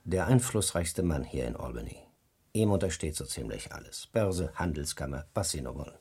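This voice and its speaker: Casting: knowing newspaper clerk, crime radio drama, deep raspy voice, factual delivery. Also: male voice, lively intonation, moderate pace, a little mellow, heavily acted. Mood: knowing, dry. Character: knowing male newspaper employee, matter-of-fact informant.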